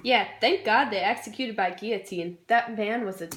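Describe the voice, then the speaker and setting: A woman's voice: mid-range voice, moderate pace, fairly bright tone, slight background noise. A young woman, a student presenter, delivering a scripted history skit in an informal, jokey way. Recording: roomy, echoey room sound.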